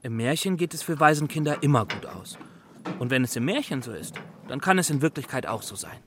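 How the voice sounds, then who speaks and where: A man's voice: medium pitch, sing-song, fairly quick, background noise. A man playing a timid, bookish young scholar in a children's radio drama, kindly, earnest and a little hesitant. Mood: gentle, earnest. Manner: hesitant, earnest, slightly fussy.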